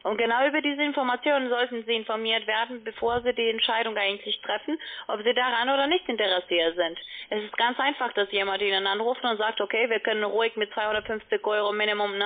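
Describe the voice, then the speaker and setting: A woman speaking as a non-native, giving a wordy, insistent sales pitch as a call-centre agent. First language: not German. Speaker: call-centre agent selling online trading. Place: phone call. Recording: tinny, band-limited phone line.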